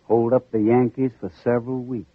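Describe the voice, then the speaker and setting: An actor playing a polite, folksy corporal with a drawl and a sly purpose, in old radio drama style. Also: male voice, medium pitch, level tone, a little fast, dry recording, natural voice. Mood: grave, sly. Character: folksy Southern corporal, secretly a cunning Union spy. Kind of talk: 1940s-50s radio drama acting. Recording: muffled narrow-band old radio recording.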